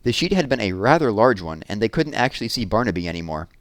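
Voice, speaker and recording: Low-voiced man reading stories, very animated. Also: fast, no room sound. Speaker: storybook reader. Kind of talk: read-aloud storytelling for children.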